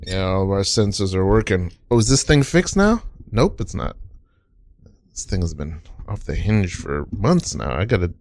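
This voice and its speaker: A man's voice: low-pitched, big pitch swings, moderate pace, darkish tone, a little hiss or hum. A man hosting a podcast with casual, improvised comedy banter, playful and given to repeating phrases for emphasis. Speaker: podcast host.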